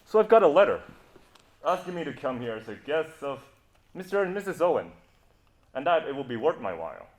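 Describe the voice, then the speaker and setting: A man's voice: a little high, big pitch swings, fairly quick, pauses often. Young man acting a brash, cocky adventurer, echoey. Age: young adult. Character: brash, cocky male adventurer, suave and sardonic. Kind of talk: amateur stage play acting. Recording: echoey student stage recording.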